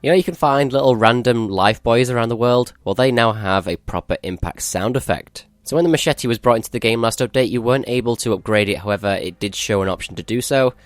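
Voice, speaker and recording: A man's voice: mid-range voice, fast, few pauses. A male gaming channel host giving a matter-of-fact, explanatory walkthrough of a game update.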